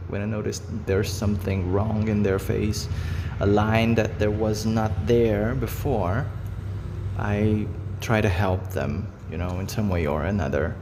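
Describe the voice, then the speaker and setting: A man's voice: on the low side, vocal fry, in a large hall, background noise. A Brazilian man whose first language is Portuguese, talking unscripted to camera in English in a rambling way, with many fillers and repeated phrases. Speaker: vlogger.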